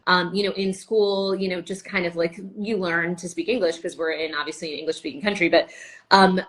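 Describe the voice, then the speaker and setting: A woman's voice: lowish voice, steady tone, brisk, a bit bright. A woman whose first language is Spanish, a TV host chatting as an interview guest in a rambling, filler-heavy way with easy laughs. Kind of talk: casual conversational interview.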